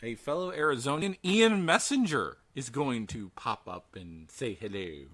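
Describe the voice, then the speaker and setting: A man's voice: mid-range voice, expressive, unhurried, crowd noise. A man hosting a show with casual, informal and enthusiastic chat. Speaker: show host.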